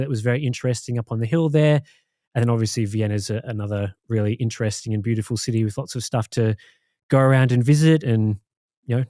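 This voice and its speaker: An Australian man co-hosting a podcast, recounting things in a rambling, relaxed way with many fillers. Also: mid-range voice, no echo, clean studio sound. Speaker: podcast co-host. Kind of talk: casual chat.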